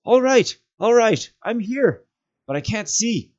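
A man's voice: sits high, lively intonation, slow, darkish tone, pitched above the performer's own voice. A determined young hobbit adventurer, played by a man reading a storybook aloud. He speaks earnestly and with emphasis, like someone set on his course. The recording is slightly dull. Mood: calling out.

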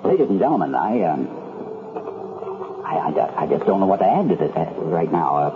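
Stunned male newscaster, low and faltering, vintage radio drama with narrow-band sound. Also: sing-song, normal speed. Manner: hesitant, faltering. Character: stunned male radio newsman at a loss for words. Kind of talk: 1970s radio drama acting as news broadcast.

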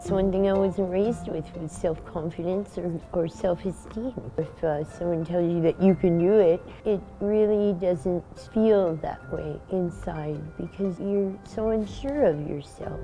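A middle-aged woman speaking reflectively in a personal interview, earnest and halting, pausing mid-phrase. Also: fairly low pitch, warm tone, poor audio. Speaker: street paper vendor. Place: indoor room. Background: music.

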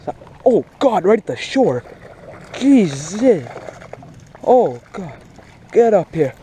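A young man giving casual, slangy and excitable fishing commentary outdoors, recorded on a body-worn action camera. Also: high-pitched, slow, a little hiss or hum. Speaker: fishing vlogger. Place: outdoors beside a grassy pond.

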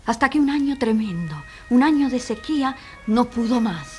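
An actress playing a solemn, compassionate narrator at a tribunal, grave and rhetorical in scripted dramatic narration. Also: female voice, a little high, lively intonation, normal speed, soft background music, lightly acted. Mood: solemn, indignant. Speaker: narrator and moderator of a dramatized trial.

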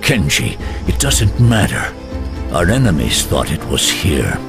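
An actor playing a grave, principled warrior lieutenant with a very husky, rough voice in a video game. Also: male voice, deep voice, very animated, a little slow, music in the background, full character voice. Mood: grave, concerned. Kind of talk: video game cutscene acting.

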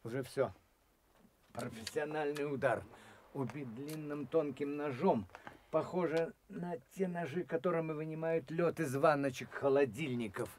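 A detached police doctor in an old radio play acted by a man, with a fairly high male voice. He reports in a clinical, matter-of-fact manner, with faint noise on the recording. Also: normal speed, a little mellow, lightly acted. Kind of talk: radio drama acting.

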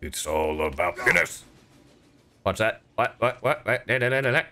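A man's voice: lowish voice, animated, moderate pace, pushed and bright. A young man giving casual, irreverent gameplay commentary, playful and full of swearing. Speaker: game streamer.

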